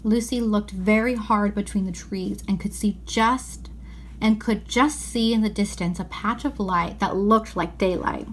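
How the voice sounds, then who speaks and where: A woman's voice: medium pitch, fairly even intonation, measured pace, slight background noise. A woman reading a children's story aloud, sometimes stumbling over a phrase and repeating it. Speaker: storybook reader.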